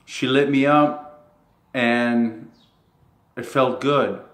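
Man, mid-pitched voice, rambling and halting delivery, chuckles, casual fan address, echoey room sound. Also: steady tone, a bit bright. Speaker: self-described model. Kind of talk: casual monologue to camera. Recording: roomy echo.

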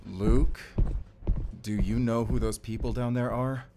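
A man's voice: mid-range voice, steady tone, unhurried, noisy background, lightly acted. A man acting a wary, protective fighter on the run in an audio roleplay, questioning and urgent. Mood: suspicious, tense. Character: wary, suspicious fighter leading an escape, protective. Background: music.

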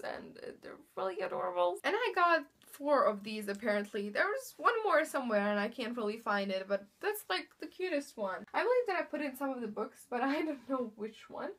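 A woman's voice: fairly high pitch, a bit bright. A young woman with a Bosnian accent, chatting casually and cheerfully about her new books in front of a bookshelf at home. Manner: chatty, upbeat, many fillers and "really". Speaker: book vlogger. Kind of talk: casual chat. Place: indoor room in front of bookshelves. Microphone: camera in front of her.

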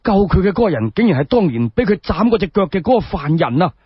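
An earnest young servant boy played by a male storyteller reports news in exclamations. The voice is high and swings about, slightly husky. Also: big pitch swings, fast talker, no echo, highs cut off, heavily acted. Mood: earnest, amazed.

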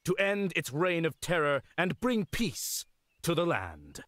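A man voicing a loyal, dutiful knight retainer in a fantasy game, formal and courteous with a deadpan streak. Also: a little high, a little slow, dry recording, studio quality, heavily acted. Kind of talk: fantasy game character acting.

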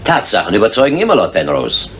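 An actor playing a coolly logical, sceptical gentleman detective, dry and precise, in a German radio drama. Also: on the low side, huge pitch swings, fast talker, a little hiss or hum. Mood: cool scepticism.

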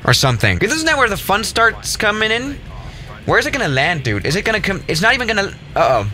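Animated young man doing game commentary. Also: high voice, quick pace, a little hiss or hum. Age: young adult. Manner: animated, mock-exasperated, sarcastic. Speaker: gaming video host. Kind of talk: casual, jokey gameplay commentary.

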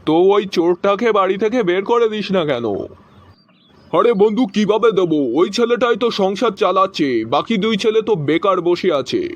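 High, teasing cartoon buddy, played by a man. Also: animated, normal speed, no echo. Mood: bantering. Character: wisecracking male cartoon friend and dad. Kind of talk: cartoon joke skit dialogue. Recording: dull, noisy lo-fi cartoon audio.

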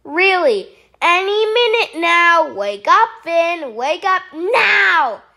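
A woman's voice: very high voice, big pitch swings, slow pace, bright, forceful tone, exaggerated voice. A woman voicing a fussy, tidy frog who is dramatic and easily horrified, in a theatrical children's storybook read-aloud. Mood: anxious. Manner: theatrical, exclaiming.

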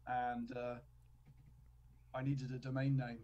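A man with an English accent from Cambridge, a podcast guest chatting on a video call with a headset, relaxed and self-deprecating. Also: mid-range voice, slow pace, muffled recording. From England. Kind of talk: casual chat on a video call.

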